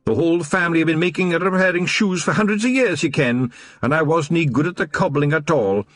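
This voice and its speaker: A man's voice: fairly high pitch, brisk, fairly bright tone, no room sound, voice pushed up, close to an everyday voice. A man voicing a tiny Scottish fairy watchman, stubborn, earnest and easily indignant.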